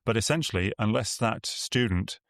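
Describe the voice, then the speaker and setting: A man with a very deep voice speaks as an expert guest in an interview. His delivery is measured and articulate, explaining step by step. The sound is dry and close to the microphone. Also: animated, vocal fry, no echo. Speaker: air traffic expert and podcast guest.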